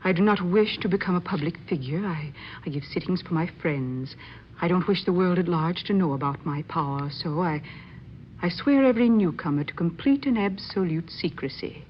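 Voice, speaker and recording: An actress playing a stern, imperious medium in an old-time radio drama, speaking in a formal, commanding manner. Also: female voice, fairly low pitch, normal speed, close to an everyday voice. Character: stern, imperious married woman who works as secretive medium. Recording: muffled, noisy old radio recording.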